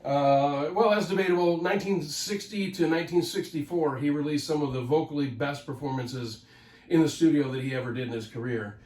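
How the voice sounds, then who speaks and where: A man's voice: a little high, a little fast, a little mellow, far from the mic. A man with a slightly raised voice talks casually to his viewers, nasal and stuffy from a head cold. He rambles and restarts often, filling gaps with ums and uhs. He sits back from the mic, and the room around him echoes. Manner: rambling, many fillers and restarts. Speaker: host of a record collecting channel. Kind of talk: casual solo talk to camera.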